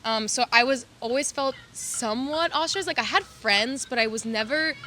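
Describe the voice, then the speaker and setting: A young woman from Serbia, who grew up speaking Serbian, tells stories in a loose, rambling, animated way. Her voice is bright and sits a little high. A faint hiss of room ambience sits under the recording. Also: moderate pace. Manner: animated, rambling, lots of "like" fillers. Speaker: podcast guest. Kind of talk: casual storytelling chat.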